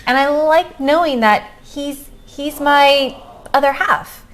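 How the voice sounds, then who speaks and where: A woman's voice: sits high, takes it slowly, fairly bright tone, slight background noise. A middle-aged woman co-hosting a casual vlog chat, talking with enthusiasm and supportive agreement. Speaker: co-host of a couple's book-review vlog.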